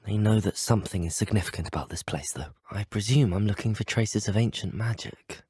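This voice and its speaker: A young man with a British accent playing a polite, curious, self-assured teenage wizard student in a video game, courteous and gently wry. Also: fairly low pitch, brisk, husky, no room sound, studio quality. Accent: British English. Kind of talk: video game character voice acting.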